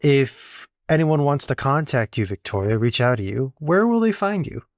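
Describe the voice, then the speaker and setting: A man hosts a podcast interview in a casual, conversational style. His pitch is average for a man. The recording is dry and free of echo. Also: lively intonation, normal speed. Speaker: interview host.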